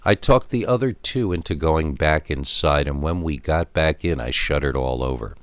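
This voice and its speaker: A man narrating a scripted first-person scary story in an expressive, emphatic storytelling manner, drawing out key words. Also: a bass voice, moderate pace, no room sound.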